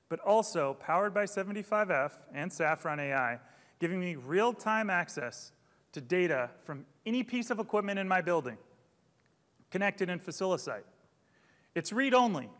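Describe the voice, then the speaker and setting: A man's voice: high-pitched, fairly bright tone. A man in his thirties presenting a product launch keynote on a large auditorium stage, upbeat and theatrical. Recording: long hall reverb.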